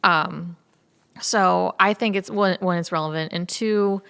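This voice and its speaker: A woman's voice: fairly low pitch, steady tone, a little slow, gravelly, a bit bright, clean tone. A woman chatting casually as a guest, effusive and rambling, with frequent asides. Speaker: actor in an audio drama cast. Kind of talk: casual chat.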